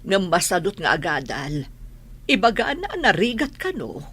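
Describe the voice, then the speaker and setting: A woman playing an elderly, plain-spoken village grandmother in a radio drama, worried, with a husky voice and a Filipino accent. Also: lowish voice, huge pitch swings, normal speed, fairly bright tone, slight background noise. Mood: worried, resigned.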